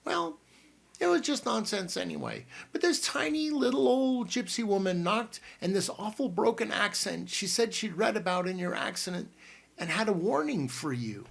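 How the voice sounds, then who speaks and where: A man's voice: high-pitched, animated, normal speed, vocal fry, a bit bright, breathy edge, voice pushed up, exaggerated voice. A man reading an audiobook and voicing a fussy, flustered middle-aged aunt who rambles breathlessly. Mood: chatty.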